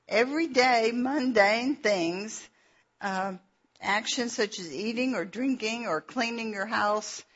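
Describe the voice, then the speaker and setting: A middle-aged woman with a Georgia drawl teaches in an easy, anecdotal way, laughing at herself as she goes. Her voice is slightly breathy and her delivery is slow. The recording sounds dull and lo-fi. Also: mid-range voice, a bit bright. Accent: Southern US (Georgia). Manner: folksy, chatty storyteller who laughs at herself. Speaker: Bible teacher and author. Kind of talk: conference teaching session.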